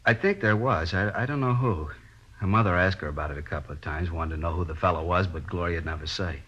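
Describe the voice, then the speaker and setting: A man playing a bewildered, protective middle-aged father in a radio drama, plain-spoken and defensive, with a husky voice. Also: sits low, quick pace, bright, forceful tone, heavily acted. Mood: subdued, cooperative. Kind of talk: 1950s radio drama acting. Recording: muffled old-time radio recording.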